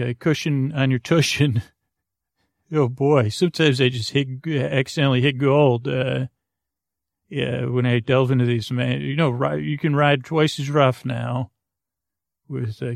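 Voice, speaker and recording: A man hosting a bedtime podcast in a creaky, lulling voice, rambling hesitantly and drifting off into tangents. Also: mid-range voice, no echo, clean studio sound. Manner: hesitant, digressive, full of tangents and trailing thoughts. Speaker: podcast host. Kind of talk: rambling, meandering bedtime monologue.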